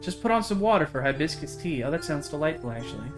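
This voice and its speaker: A man's voice: fairly high pitch, sing-song, fast talker. A man voicing a kindly older small-town woman, gracious, chatty and folksy, in a visual novel. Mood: gracious, welcoming. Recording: game music behind the voice.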